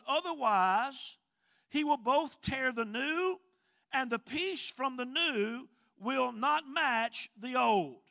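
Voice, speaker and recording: A man's voice: extremely high pitch, vocal fry, sharp tone. A man with a Mississippi accent preaching a sermon, stretching out scripture readings and calling on the congregation to respond. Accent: Southern US, Mississippi. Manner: drawn-out emphatic scripture reading, calls for responses.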